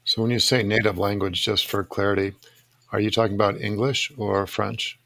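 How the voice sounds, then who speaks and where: A man hosting a podcast, asking questions in a deliberate, courteous, conversational way. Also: lowish voice, little pitch movement, vocal fry, sharp tone, dry recording. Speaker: podcast host, interviewer.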